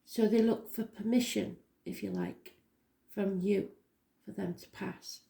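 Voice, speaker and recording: A woman with a slightly croaky voice giving a daily tarot reading, talking softly and slowly with many pauses. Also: medium pitch, steady tone, reverberant. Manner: slow, soft and unhurried, with pauses and fillers. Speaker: tarot reader.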